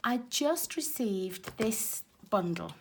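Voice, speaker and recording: Hoarse woman demonstrating card making. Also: fairly high pitch, animated, takes it slowly. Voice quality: raspy. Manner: chatty and explanatory, talking while working with her hands. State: hoarse from a cold. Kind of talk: casual crafting tutorial. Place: craft table at home, filmed from overhead.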